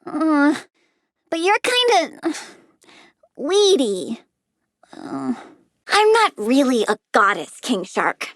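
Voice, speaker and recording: Little plant-girl supervillain, very high voice, animated, cutesy, bratty, cheerfully menacing, video game character. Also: slow, long pauses, dry recording, studio quality, exaggerated voice. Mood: playful, curious. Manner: childlike, cutesy, blunt, bratty. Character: childlike reborn plant-girl villain, playful, curious, cheerfully menacing.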